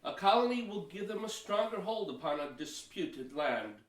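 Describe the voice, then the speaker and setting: A man's voice: high voice, expressive, normal speed. A man playing a cautious, pious Pilgrim church elder in a historical audio play, with hesitant, formal archaic phrasing. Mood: doubtful, wary. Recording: roomy echo, distant from mic.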